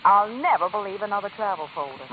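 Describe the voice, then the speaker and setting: A woman's voice: medium pitch, animated, quick pace, natural voice. An actress playing the hero's sophisticated, lightly sardonic female companion in a 1940s radio drama. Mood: wry exasperation. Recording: noisy, tinny 1940s radio recording.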